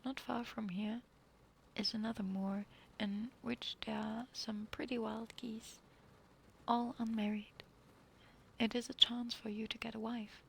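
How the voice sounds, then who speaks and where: A woman reading a fairy tale aloud in a soft whisper, pausing now and then to apologize. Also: a little high, level tone, vocal fry, a little hiss or hum, poor audio. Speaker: reader.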